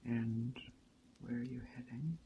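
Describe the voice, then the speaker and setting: A man playing a calm, hospitable witch of a woodland coven in a gentle, unhurried ASMR roleplay that drifts into soft whispers. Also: medium pitch, vocal fry, highs cut off, a little hiss or hum. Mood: welcoming, calm. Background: rain and forest ambience.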